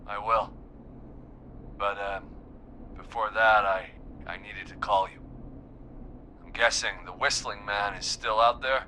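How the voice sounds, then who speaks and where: Wounded small-town man, forceful bright timbre, slow halting confession, weary, guilt-ridden, game drama, noisy call. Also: on the low side, fairly even intonation. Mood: woozy, wry. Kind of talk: dramatic video game voice acting.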